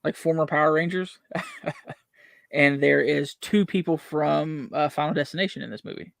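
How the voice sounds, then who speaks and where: A man's voice: a little high, normal speed, vocal fry, a bit bright, no echo, clean studio sound. A young man from West Virginia with a Southern country accent, rambling through a casual movie chat with lots of fillers. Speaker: podcast co-host.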